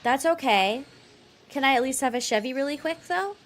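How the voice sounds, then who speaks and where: A woman's voice: sits high, animated, normal speed. A woman chatting casually while livestreaming a game, informal and sometimes muttering to herself.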